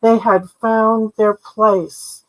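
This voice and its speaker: An older woman narrates a storybook tale in a fairly high voice. She takes her time, reading gently and in a measured way, phrase by phrase. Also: level tone, poor audio. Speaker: author reading own story. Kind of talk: storybook narration read aloud.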